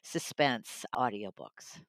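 A woman's voice: very deep voice, sing-song, slow, no room sound. A woman hosting a podcast interview in a conversational way, asking questions and responding to her guest. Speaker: interview host.

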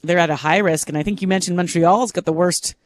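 A man's voice: high-pitched, fairly even intonation, quick pace, no echo. A man co-hosting a radio show, talkative and curious in casual chat. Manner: talkative, curious, laughs easily.

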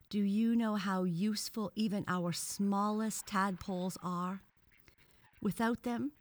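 A woman's voice: mid-range voice, dry recording, pitched above the performer's own voice, close to an everyday voice. A woman voicing a pompous, severe male frog professor who lectures with scorn in a children's storybook. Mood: sarcastic.

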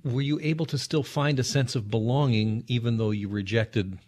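A man hosting an interview, putting considered, attentive questions to a guest in a measured, conversational way. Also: mid-range voice, fairly even intonation, darkish tone. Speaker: interviewer, host.

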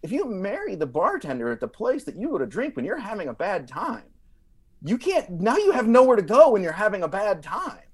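Higher-pitched man telling comic stories. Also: huge pitch swings, brisk, breathy edge. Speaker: podcast guest. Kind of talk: comedic storytelling in casual podcast chat.

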